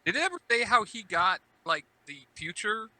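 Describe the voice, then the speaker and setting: A man's voice: high voice, sing-song, normal speed, bright, forceful tone, no echo, low-quality recording. A man in casual fan chat on a podcast, informal and often asking questions. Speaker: podcast speaker.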